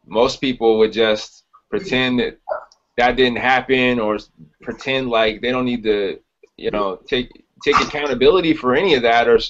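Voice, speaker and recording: A man's voice: mid-range voice, a bit bright. A man co-hosting a podcast, chatting informally in a supportive way. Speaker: podcast co-host. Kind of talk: casual chat. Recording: dull lo-fi podcast audio.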